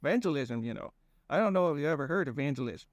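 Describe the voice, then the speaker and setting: A man's voice: mid-range voice, normal speed, no echo, lightly acted. A man voicing a shifty, rambling male werewolf cousin with a put-on folksy accent. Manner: folksy rambling, keeps saying "don't you know".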